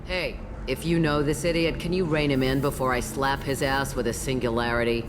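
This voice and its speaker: An actress voicing a blunt, world-weary old alien matriarch who tends bar in a sci-fi video game, sardonic and no-nonsense. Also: female voice, rumbling low, steady tone, brisk, noisy background. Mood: irritated. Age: older. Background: music.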